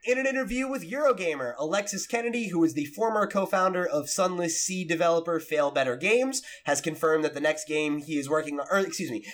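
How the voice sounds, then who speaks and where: A man's voice: a little high, fairly bright tone. Casual man co-hosting a podcast. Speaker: podcast co-host. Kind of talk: casual gaming podcast chat.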